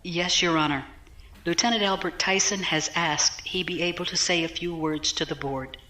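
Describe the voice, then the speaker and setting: A woman's voice: fairly low pitch, steady tone, normal speed, pushed and bright. A woman playing a guarded, respectful military defence counsel, speaking formally in a science fiction audio drama. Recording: roomy echo.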